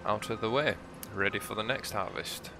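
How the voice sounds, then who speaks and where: A man with a croaky, hoarse voice, giving relaxed, rambling commentary as he plays a farming game for his channel. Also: on the low side, moderate pace, noisy background. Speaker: let's play host. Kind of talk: casual gameplay commentary.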